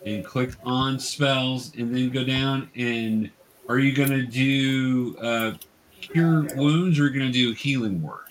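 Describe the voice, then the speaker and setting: A man's voice: mid-range voice, slow, a little hiss or hum, rough recording. A man acting as game master, conversationally narrating a tabletop game and patiently explaining things step by step to young players.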